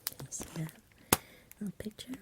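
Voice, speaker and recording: A woman speaking in a soft, soothing whisper in an ASMR bedtime roleplay, gentle and unhurried as if talking to a child. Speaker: ASMR performer playing a caregiver at bedtime.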